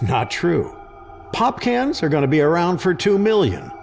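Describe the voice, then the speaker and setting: A man's voice: on the high side, huge pitch swings, normal speed, creaky, darkish tone, faint music behind, heavily acted. A man playing a defensive, sarcastic presidential secretary in a sci-fi audio drama, arguing sceptically. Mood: skeptical. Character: combative, skeptical presidential secretary guarding his turf.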